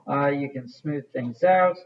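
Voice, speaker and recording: A man's voice: a little high, a little slow, lo-fi sound. Casual man teaching a clay demo. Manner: casual, rambling, many fillers. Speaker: art teacher. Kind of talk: classroom demonstration.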